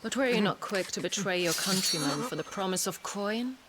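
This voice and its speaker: An actress playing a cold female spymaster in a video game, measured and cutting. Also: medium pitch, animated, very noisy, lightly acted.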